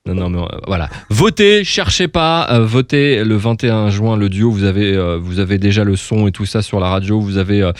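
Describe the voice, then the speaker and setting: A man's voice: on the low side, brisk, hardly any pauses, no room sound, clean studio sound. A male radio host chatting casually and enthusiastically, rambling a little with frequent hesitations.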